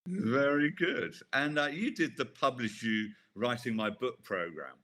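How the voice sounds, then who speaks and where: A man's voice: medium pitch, expressive, fairly bright tone. A man hosting a podcast, talking with a guest over a video call in a chatty, upbeat way. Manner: upbeat and chatty, quick to laugh. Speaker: podcast host. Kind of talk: casual interview.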